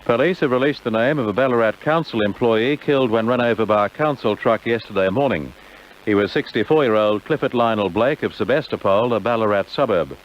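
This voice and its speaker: An Australian man presenting on radio in a measured announcer's delivery, reading information and news. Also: on the low side. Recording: dull, noisy old AM radio tape.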